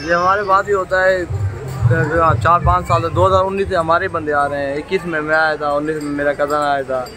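A man's voice: a little high, level tone, a little fast, music in the background. A young man with a Pakistani accent answering casually and plainly as a farm worker in a street interview. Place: outdoors at night in a crowded tented cattle display. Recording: noisy outdoor urban night event.

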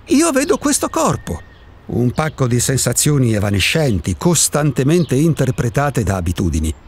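A man's voice: mid-range voice, huge pitch swings, normal speed, darkish tone, husky, heavily acted. An actor in a radio drama playing an eccentric, solitary man who insists he does not exist, philosophizing in a provocative, impatient way. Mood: insistent. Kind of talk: Italian radio drama acting.

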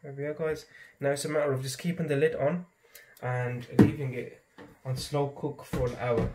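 A man hosting a home cooking channel, talking viewers through a recipe step by step in a steady, instructive way from his kitchen. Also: medium pitch, normal speed, heavy background noise. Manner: steady, instructive, often addresses viewers as guys.

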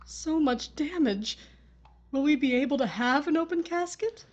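A woman's voice: high-pitched, lively intonation, normal speed, darkish tone, dull audio. A woman playing a grieving, weary widow in a horror audio drama, with a hesitant, distraught delivery.

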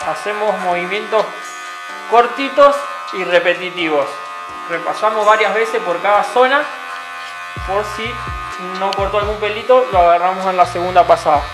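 A young man, a barber calmly explaining a haircut step by step in a small barbershop. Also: high voice, normal speed. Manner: calm, instructive, repeats steps patiently. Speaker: barber instructor. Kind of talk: step-by-step tutorial narration. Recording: roomy sound with music behind. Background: background music and clipper buzz.

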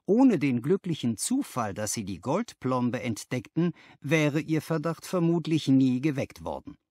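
A man voicing a confident, analytical gentleman detective who lectures emphatically in an audiobook, posing rhetorical questions. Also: medium pitch, big pitch swings, unhurried, no echo. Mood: assured, explaining. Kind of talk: audiobook character dialogue.

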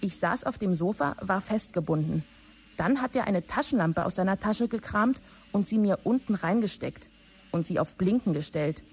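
A woman playing an aggrieved office assistant in a radio drama, confiding in a matter-of-fact way with a husky voice. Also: mid-range voice, expressive, moderate pace, darkish tone.

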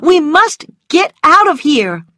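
Woman acting a high-voiced, headstrong young Southern woman. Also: sing-song, slow pace, sharp tone, no room sound, clean studio sound, exaggerated voice. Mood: frightened, angry. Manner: emphatic, emotional.

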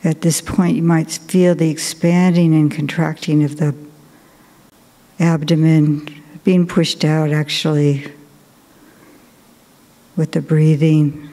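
Slow, gentle woman guiding meditation. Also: low-pitched, flat delivery. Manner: slow, gentle, soft-spoken with long pauses. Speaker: Buddhist nun leading meditation. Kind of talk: guided meditation. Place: large cathedral. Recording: long cathedral reverb.

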